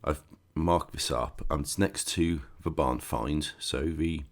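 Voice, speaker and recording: Croaky guy with a cold, really deep voice, rambling through a game walkthrough. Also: slow pace. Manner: chatty, rambling, sarcastic asides. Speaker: gaming channel host. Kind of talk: casual game walkthrough commentary.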